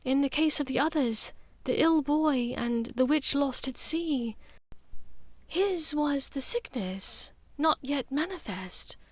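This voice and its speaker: Audiobook role: refined middle-aged witch played by a woman, high-voiced, wistful, measured and expressive. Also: no echo. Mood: solemn, hushed. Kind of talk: audiobook character dialogue.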